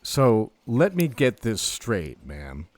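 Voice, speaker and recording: Baffled, animated everyman. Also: lowish voice, takes it slowly, heavily acted. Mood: incredulous. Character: baffled, incredulous human everyman. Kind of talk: audio drama comedy acting.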